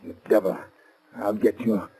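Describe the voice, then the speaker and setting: An actor playing a defiant, hot-tempered gangster in a 1930s radio drama, with a snarling, melodramatic delivery. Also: male voice, lowish voice, little pitch movement, normal speed, dark tone, slightly rough, low-quality recording. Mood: defiant, furious. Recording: vintage 1930s radio recording.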